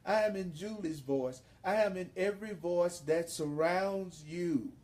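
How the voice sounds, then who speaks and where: Male spiritual teacher, very unhurried and relaxed in tone, sermon delivery, emphatic and repetitive phrasing. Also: high-pitched. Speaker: spiritual teacher leading a course.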